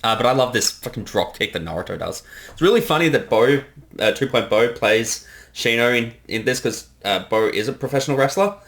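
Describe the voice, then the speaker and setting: A man giving casual director's commentary in a rambling, halting way with some swearing. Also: medium pitch, animated, fairly bright tone.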